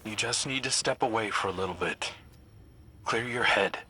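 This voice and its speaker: An actor playing a regretful, reassuring mentor in a video game, with a husky, plain-spoken, sincere delivery. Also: male voice, on the low side, moderate pace, pushed and bright. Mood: sympathetic. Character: regretful, reassuring male mentor with a troubled past. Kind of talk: video game dialogue acting.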